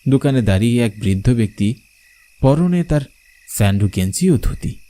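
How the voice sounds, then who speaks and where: A man's voice: mid-range voice, lively intonation, normal speed, mellow. A man narrating a scripted horror story in the first person, in a dramatic, suspenseful manner. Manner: suspenseful, theatrical.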